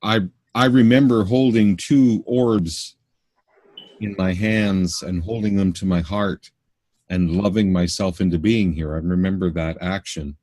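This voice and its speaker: Deep-voiced Canadian man, earnest. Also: steady tone, a little mellow, dry recording. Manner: earnest, halting with restarts. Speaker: spiritual workshop teacher. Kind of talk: casual interview answers.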